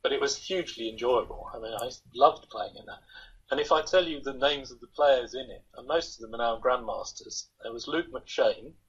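A man's voice: medium pitch, moderate pace, slightly rough. An older man chatting as an interview guest over a video call from his home, in a rambling, self-deprecating way. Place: home room, indoors. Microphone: laptop webcam on a video call. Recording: dull lo-fi video call audio.

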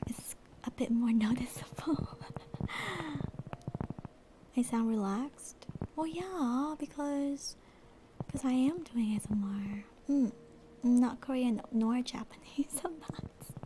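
A young woman streamer with a slight accent, chatting with viewers in a soft, airy ASMR whisper, relaxed and rambling. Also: a little high, slow pace, pauses often, background noise. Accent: slight non-American accent. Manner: relaxed, rambling, reads chat aloud. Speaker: VTuber streamer.

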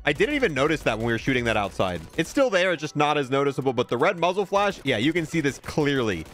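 Man, somewhat high voice, casual gaming host, conversational, thinking aloud, light background music. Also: sing-song, fast talker, a bit bright. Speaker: gaming video host reviewing a cosmetic bundle. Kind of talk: casual video game commentary.

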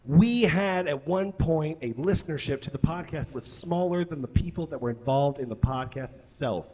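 A man's voice: on the high side, moderate pace, dark tone, dull audio. A middle-aged man hosting a live comedy podcast show, addressing the room casually with swearing, exuberant, on a handheld stage microphone. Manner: exuberant, often raising his voice. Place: small indoor stage in a bar.

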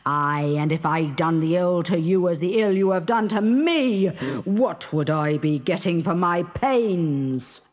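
A woman voicing a huge, menacing male giant in a storybook, slow and chuckling as she relishes the threats. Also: fairly low pitch, voice pushed down, natural voice. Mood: gloating menace. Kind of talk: dramatised storybook reading.

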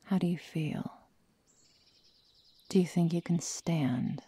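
Slow, breathy sadistic werewolf girl, acted by a woman. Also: low-pitched, no room sound, exaggerated voice. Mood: falsely tender. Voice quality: breathy, often whispered. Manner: slow, soft, mock-tender menace. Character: sadistic young female werewolf, coldly teasing and menacing. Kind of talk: ASMR roleplay, whispered and close. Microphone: close microphone, dry sound.

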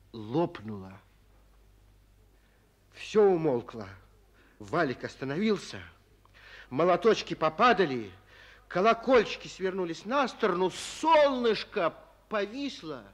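A man narrating a 1940s children's radio play as a dramatic storyteller. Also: fairly high pitch.

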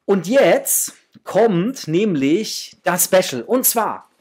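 A man in his thirties with a slightly husky voice, chatting informally to viewers as host of an unboxing video. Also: sits high, sing-song, extremely slow, darkish tone. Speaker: host of a toy collecting channel. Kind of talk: casual chat, unboxing to camera. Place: small room at home, indoors.

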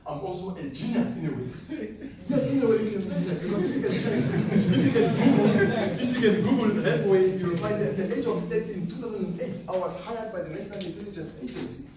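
A young man from South Africa giving an animated, boastful trading seminar to students, often laughing at his own lines. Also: high-pitched, fast, few pauses, crowd noise. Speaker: forex trading speaker. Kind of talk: live seminar talk to students. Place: classroom with seated audience. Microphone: far camera, no mic. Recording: echoey classroom from far off.

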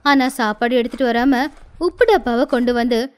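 A woman with a high voice tells a scripted moral tale as its narrator. She delivers it as storytelling for an animated story. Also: normal speed, smooth.

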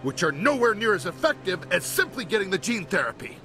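A man's voice: high voice, big pitch swings, brisk. An actor playing an anguished middle-aged man in a video game drama, urgent and argumentative as he pleads for his family. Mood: insistent arguing. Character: anguished, insistent brother-in-law pleading for the baby. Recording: music behind the voice. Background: music.

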